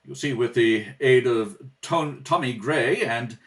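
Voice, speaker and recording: A man acting out an earnest, formal gentleman butler with measured, sometimes hesitant speech in an amateur radio play read-through. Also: medium pitch, far from the mic. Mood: courteous, composed. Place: at a kitchen table at home.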